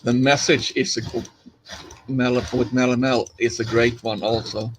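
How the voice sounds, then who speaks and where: A man's voice: medium pitch, flat delivery, moderate pace, faint music behind, rough recording. A man from Finland with a Finnish accent, chatting casually on a live stream while he plays, rambling along with many fillers and restarts.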